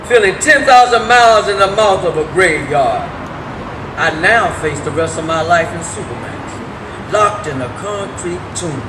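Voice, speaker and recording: Man acting as anguished male prisoner, high voice, impassioned stage testimony, emphatic, noisy room. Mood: grim, bitter. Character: anguished male prisoner facing life in isolation. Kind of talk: dramatic reading of testimony. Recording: echoey room with heavy background noise.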